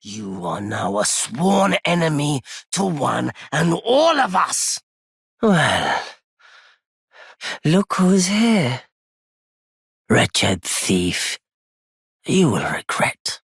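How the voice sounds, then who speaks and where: An actor playing a wary, proud nomadic merchant in a video game, with a husky, gravelly voice and a weighty, theatrical delivery. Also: male voice, lowish voice, a bit bright, no echo, studio quality, full character voice. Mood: menacing. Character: wary, courteous nomadic male merchant turned bitter and vengeful. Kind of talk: scripted game character lines.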